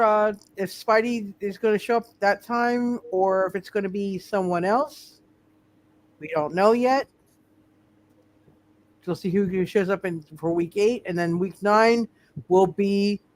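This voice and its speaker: A man hosting as game master in casual talk, halting and often repeating himself as he circles back. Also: high-pitched. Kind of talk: casual sign-off talk on live roleplay show.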